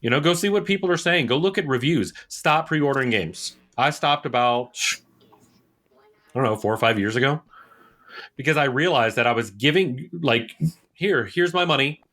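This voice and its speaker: A man's voice: mid-range voice, moderate pace, fairly bright tone. Emphatic, opinionated male podcast co-host. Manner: opinionated, emphatic, repeats points for stress. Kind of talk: casual podcast chat.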